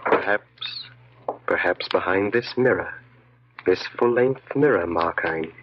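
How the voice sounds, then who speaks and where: A man's voice: sits low, expressive, slow, slightly rough, full character voice. An actor playing a commanding, mocking evil genius that speaks within a man's mind, in 1940s radio horror style. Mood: insinuating. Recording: muffled old radio recording.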